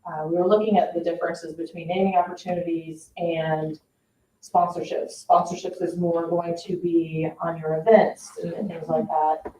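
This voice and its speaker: A woman's voice: on the low side, level tone, moderate pace, warm tone, slight background noise. A woman explaining things informally and with some hedging at a board meeting, as a parks staff member. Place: indoor public board meeting room. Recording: echoey meeting room, distant dull mic.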